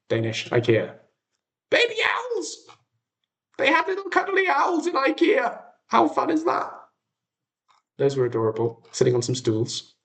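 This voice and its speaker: Animated, whimsical British man chatting casually. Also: high-pitched. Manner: enthusiastic, whimsical. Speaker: livestream host. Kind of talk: casual chat to viewers.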